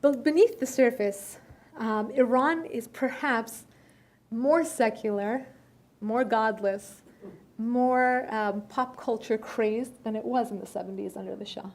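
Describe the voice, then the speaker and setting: A young adult woman answering questions at a luncheon, speaking in a measured way with filler pauses and restarts. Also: a little high, darkish tone. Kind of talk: conversational Q&A talk. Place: luncheon room.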